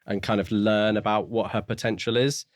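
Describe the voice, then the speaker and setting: A man co-hosting a podcast in a casual book discussion, talking in a measured, explanatory and courteous way. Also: fairly low pitch, steady tone, no room sound, clean studio sound. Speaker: podcast co-host.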